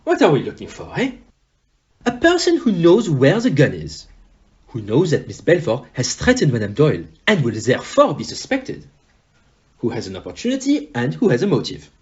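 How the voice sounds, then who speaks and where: An actor playing a shrewd, courteous detective in an audio play, with a deliberate, pompous manner. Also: male voice, on the high side, wildly swooping pitch, a little mellow, highs cut off. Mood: probing. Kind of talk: amateur audio play acting.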